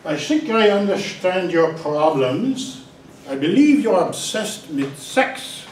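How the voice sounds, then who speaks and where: A man voicing a pompous male psychiatrist with a mock Austrian accent, speaking slowly and knowingly, in a roomy hall away from the mic. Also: sits high, lively intonation, recorded from a distance, a little hiss or hum, pitched above the performer's own voice.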